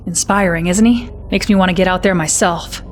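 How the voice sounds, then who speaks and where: A woman playing a brisk, wry military intelligence officer, giving a scripted mission briefing in a crisp, confiding manner. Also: lowish voice, steady tone, soft background music, lightly acted.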